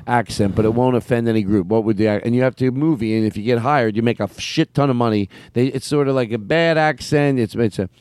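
A man's voice: mid-range voice, fast talker, few pauses, darkish tone. A man hosting a comedy podcast, joking with friends, laughing often and sliding into a put-on British lilt at the ends of sentences. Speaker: podcast host. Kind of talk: comedic banter.

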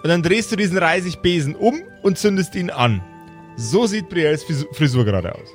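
A man narrating an improvised tabletop role-play as game master, with deliberate, theatrical description. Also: a little high, lively intonation. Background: faint music.

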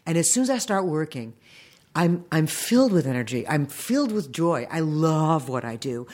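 A woman's voice: low voice, sing-song, moderate pace, a little mellow. Effusive American woman in her fifties. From Long Island, New York, United States. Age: 50s. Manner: enthusiastic, effusive, animatedly quoting people in stories. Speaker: interview guest, animation voice director. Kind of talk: casual anecdotal interview storytelling.

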